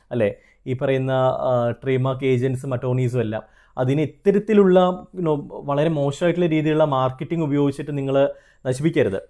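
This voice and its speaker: A man's voice: mid-range voice, mellow. A man with a Malayali accent explaining and advising viewers directly in an earnest, conversational way, mixing in English terms. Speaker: trademark consultant and video host. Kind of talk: explanatory advice straight to viewers.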